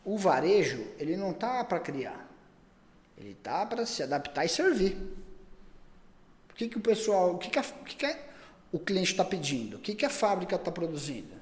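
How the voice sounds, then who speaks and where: Chatty man with a slightly high voice. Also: sing-song, moderate pace, in a large hall. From Brazil. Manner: talks at length, many repeated words and restarts. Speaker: pet store chain owner, guest. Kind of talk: casual podcast conversation.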